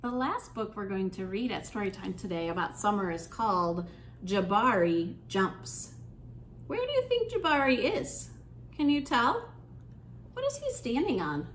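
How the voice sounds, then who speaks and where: Woman, children's storytime reader, unhurried, encouraging, animated delivery, echoey room with some noise. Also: fairly high pitch. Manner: encouraging, asks listeners questions. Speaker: children's librarian leading storytime. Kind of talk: animated read-aloud to young children.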